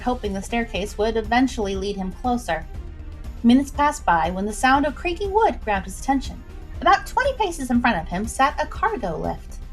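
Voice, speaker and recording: A woman who tells stories and hosts a livestream, speaking in an informal, expressive way straight to her listeners. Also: on the high side. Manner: chatty, informal, addresses listeners directly. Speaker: storyteller and livestream host. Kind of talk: expressive story reading and casual livestream chat.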